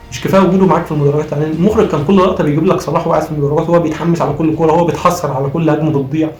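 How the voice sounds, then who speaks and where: Rapid-fire Egyptian man with a strained voice. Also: a little high, a little mellow. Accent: Egyptian Arabic. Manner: emphatic, argumentative, repeats phrases. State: strained, voice worn out from cheering. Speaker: football vlogger. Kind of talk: impassioned post-match fan commentary. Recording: roomy echo, faint music behind.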